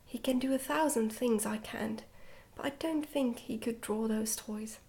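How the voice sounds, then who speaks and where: Soft whispery lady doing asmr book reading, gentle careful storytelling, kinda higher voice. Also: unhurried. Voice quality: whispery, breathy, soft-spoken.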